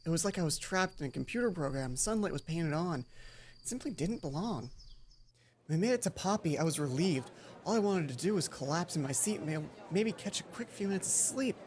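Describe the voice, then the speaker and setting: A man's voice: fairly high pitch, expressive, brisk, darkish tone. A man doing a let's play, reading visual novel narration expressively and adding casual commentary. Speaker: let's player. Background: game music.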